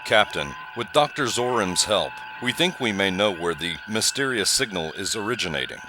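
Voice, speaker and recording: A man's voice: fairly low pitch, big pitch swings, moderate pace, background noise. A man playing an earnest, inquisitive starship officer in a sci-fi audio drama. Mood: intrigued.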